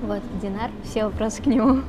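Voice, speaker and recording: A young woman singer answering interview questions in a relaxed, chatty way, with many fillers. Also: medium pitch, brisk. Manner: relaxed, chatty, switches between Russian and Tatar, many fillers. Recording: noisy background with traffic.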